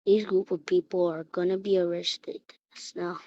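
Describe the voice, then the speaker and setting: A woman improvising an emergency alert announcement in a halting way, often repeating and restarting her phrases, on a lo-fi recording. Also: fairly low pitch, flat delivery, a little mellow, dry recording. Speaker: emergency alert announcer in a hobby mock broadcast. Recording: dull lo-fi recording.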